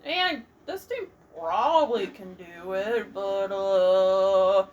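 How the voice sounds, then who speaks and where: A woman's voice: medium pitch, drawn-out words, darkish tone, dull audio, a little hiss or hum. A woman giving casual gameplay commentary as a let's player.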